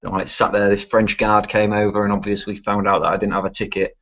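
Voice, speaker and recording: Rambling young Manchester man, flat low voice. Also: fast talker. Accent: Manchester English. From England. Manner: rambling and laughing, swears freely. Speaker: guest telling a travel story. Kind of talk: casual chat on a live stream. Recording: muffled low-fidelity stream audio.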